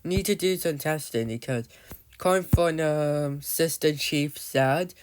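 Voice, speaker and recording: A man's voice: fairly high pitch, unhurried, smooth. A man giving casual, rambling commentary on his game, often losing his thread and calling out to viewers as "guys". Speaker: gamer narrating his play.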